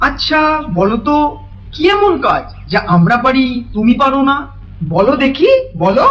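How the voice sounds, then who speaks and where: A man's voice: very high-pitched, sing-song, moderate pace, a bit bright, dull audio, exaggerated voice. A man playing a mischievous, mocking young village lad in a fairy tale, needling with sing-song taunts. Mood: falsely friendly. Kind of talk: animated fairy-tale voice acting.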